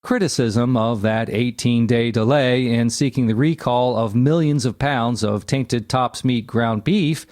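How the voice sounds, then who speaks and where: A man narrating an encyclopedia article aloud in a measured, factual, scripted delivery. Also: medium pitch, darkish tone, dry recording, studio quality.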